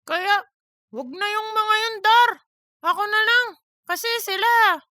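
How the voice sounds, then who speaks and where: A teasing, self-promoting office buddy played by a woman in a comic audiobook. The voice is extremely high and piercingly bright, with slow, drawn-out slangy delivery and a dry sound. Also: dry recording, exaggerated voice. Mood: persuasive, joking. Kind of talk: scripted comic audiobook dialogue.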